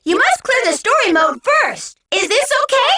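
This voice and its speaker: A woman voicing a small, cheerful, childlike robot guide in a video game, giving perky, clearly spoken instructions. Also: extremely high pitch, sing-song, moderate pace, pushed and bright, full character voice. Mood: perky, instructive. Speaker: tutorial guide and menu announcer. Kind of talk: scripted video game prompts.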